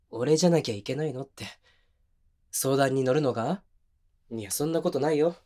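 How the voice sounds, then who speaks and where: A man acting as a considerate but oblivious young boyfriend in an intimate audio drama, talking casually and softly with pauses. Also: fairly high pitch, natural voice. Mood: puzzled, defensive. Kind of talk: intimate situation-voice audio drama, naturalistic one-sided dialogue.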